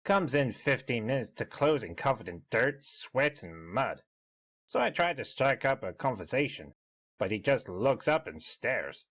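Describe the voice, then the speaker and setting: Man as a chatty bartender, lively pitch. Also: unhurried, full character voice. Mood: bemused. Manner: conversational, gossipy. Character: chatty, bemused bartender recounting an odd customer. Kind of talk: dramatic diary reading for a story.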